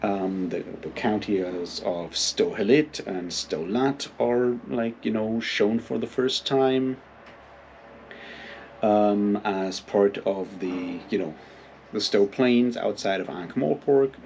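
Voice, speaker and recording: A man giving a casual, unscripted book commentary in a halting, rambling way, with plenty of filler phrases. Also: on the low side, darkish tone, a little hiss or hum. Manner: halting, hesitant, rambling delivery with frequent hedging filler phrases. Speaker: book reviewer and readalong host.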